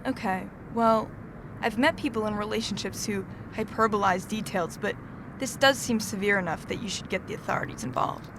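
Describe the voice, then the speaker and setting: A woman acting a composed, earnest middle-aged therapist in a student audio drama, speaking in a measured, professional way. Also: medium pitch, background noise, close to an everyday voice. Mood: earnest, sober.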